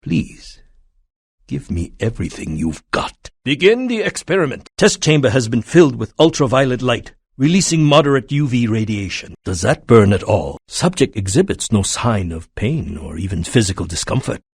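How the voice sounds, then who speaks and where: Coldly precise, lively-pitched villain scientist. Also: male voice, moderate pace, gravelly, husky, no room sound, studio quality, exaggerated voice. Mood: clinical, curious. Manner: formal, clinical, precise, condescending. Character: cold, polite, menacing male crime-syndicate scientist experimenting on captives. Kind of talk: video game villain voice acting.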